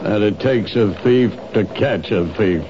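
A man's voice: fairly low pitch, measured pace, over music, lightly acted. A man playing a dry, amused police lieutenant questioning a witness in a 1970s radio drama. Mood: wry. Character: wry male police lieutenant. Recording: dull lo-fi old radio broadcast.

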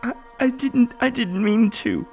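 A man's voice: extremely high pitch, a little slow, vocal fry, heavily acted. A man playing a devoted teenage younger brother in a melodramatic audio drama, earnest, emphatic and pleading. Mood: shaken. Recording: voice under loud music. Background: music.

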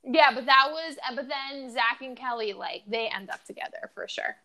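A woman co-hosting a casual podcast, chatty and quick to laugh, with lots of "like". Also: high voice, sharp tone. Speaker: podcast co-host. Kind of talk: casual chat.

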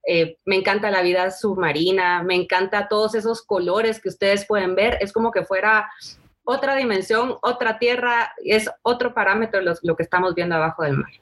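Woman, biologist guest, enthusiastic, mid pitch. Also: normal speed, fairly bright tone. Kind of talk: casual panel chat.

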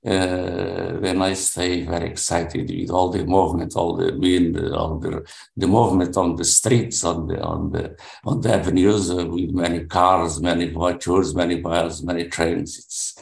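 A man in his seventies from Portugal, speaking English with a Portuguese accent, introducing himself in class in a halting way with many restarts. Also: sits low, flat delivery, vocal fry, a little mellow. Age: 70s. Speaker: language student. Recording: roomy echo.

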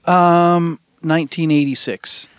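A man who grew up in England, a musician guest telling his story in a relaxed, rambling way with plenty of fillers. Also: on the high side, takes it slowly, smooth, no room sound. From England (Cornwall). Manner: rambling, easygoing, filler-heavy, trails off softly. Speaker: podcast guest, musician and realtor. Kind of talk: casual interview storytelling.

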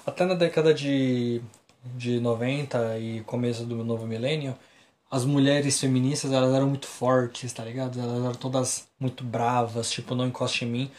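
Brazilian male podcast co-host, casual and slangy, restarts his sentences, mid-pitched voice, roomy echo. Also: steady tone, moderate pace, darkish tone. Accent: Brazilian Portuguese. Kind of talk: casual chat.

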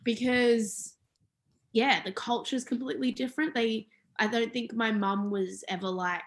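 A woman's voice: on the high side, fairly even intonation, unhurried. Hesitant young woman, casual chat. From Australia. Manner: hesitant, many fillers and restarts. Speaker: interviewee. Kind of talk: casual conversation about family history.

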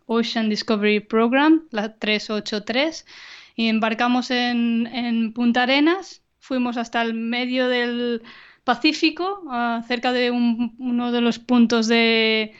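A woman's voice: on the high side, fairly even intonation, takes it slowly, clean tone, dull audio. A woman scientist being interviewed on a podcast over a video call, speaking thoughtfully with frequent fillers and restarts. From Argentina. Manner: thoughtful, many fillers and restarts, light laughs. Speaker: guest scientist. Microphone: remote video call.

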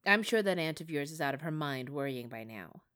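A woman voicing a gruff male police driver who speaks in a kindly, reassuring way. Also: sits low, lively intonation, brisk, fairly bright tone, no echo, studio quality, pitched below the performer's own voice. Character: gruff but soft-hearted male cop driver.

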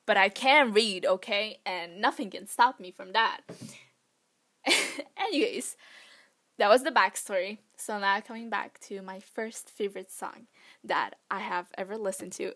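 A woman's voice: medium pitch, a bit bright. A young woman hosting her own podcast, chatting casually and rambling with lots of "like" and "um"; Vietnamese was her first language. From Vietnamese family, raised in Poland. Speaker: podcast host. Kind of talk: casual solo podcast chat.

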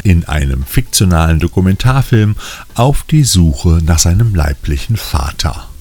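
A man's voice: deep voice, very animated, unhurried. A man hosting a film news podcast, reading scripted previews of new releases. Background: faint music.

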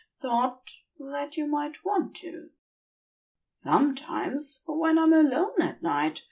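A woman's voice: sits high, a little mellow, distant mic, voice pushed up, full character voice, rough recording. A woman voicing a prim, dazed male angel in an audiobook, speaking with polite, rambling earnestness and longing.

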